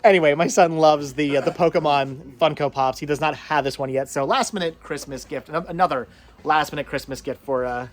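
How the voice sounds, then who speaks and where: A middle-aged man hosting a casual thrifting vlog, chatting haltingly and often repeating his words. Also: on the high side. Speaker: vlog host. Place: out and about at flea market.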